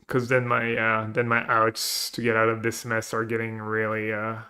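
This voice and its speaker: A man's voice: lowish voice, completely flat delivery, moderate pace, bright, forceful tone. A man live-streaming a card game, chatting casually with viewers and thinking his plays aloud. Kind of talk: live gameplay commentary, reading chat.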